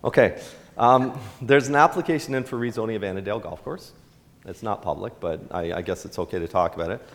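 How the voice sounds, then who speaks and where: A man's voice: lowish voice, quick pace. A middle-aged man from Canada, a town mayor, answering questions at a town hall in a casual, rambling way with many restarts. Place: large indoor hall with a stage. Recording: long hall reverb.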